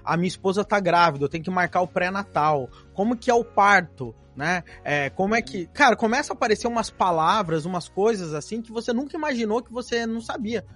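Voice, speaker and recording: A Brazilian man hosting an interview, chatting in an animated way with lots of fillers. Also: high-pitched, brisk, hardly any pauses, soft background music. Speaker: podcast host. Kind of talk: casual interview chat.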